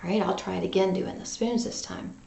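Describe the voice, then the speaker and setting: A woman teacher talking directly to young children in an animated, conversational read-aloud lesson style. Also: mid-range voice, a little fast, creaky, slight background noise. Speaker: music teacher and storyteller for children. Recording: roomy, echoing home recording.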